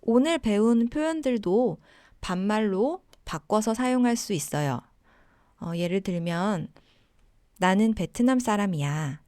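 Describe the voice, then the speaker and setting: A woman teaching a language lesson, giving model sentences and simple explanations in a deliberate way for learners. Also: mid-range voice, animated, warm tone, dry recording, clean studio sound.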